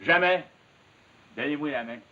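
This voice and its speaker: A man playing a proud, generous woodsman with a Quebec French accent, brisk and emphatic, in 1950s television acting. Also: a little high, close to an everyday voice. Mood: proud, warm refusal. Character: proud, generous, hearty woodsman refusing payment. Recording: dull 1950s television soundtrack.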